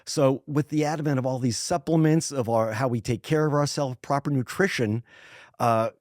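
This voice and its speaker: A man in his sixties with a mid-pitched voice, speaking as an author being interviewed. He talks in a loose, thoughtful way, full of "you know" and restarts. The sound is close and dry, with no room around it. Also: normal speed, darkish tone, dry recording. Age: 60s. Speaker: guest author. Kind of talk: casual podcast interview.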